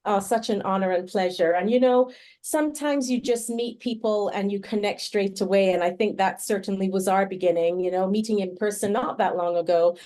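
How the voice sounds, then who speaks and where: A woman's voice: mid-range voice, brisk, warm tone. A woman hosting a podcast, welcoming her guest in an effusive, friendly way. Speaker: podcast host. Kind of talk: warm podcast interview.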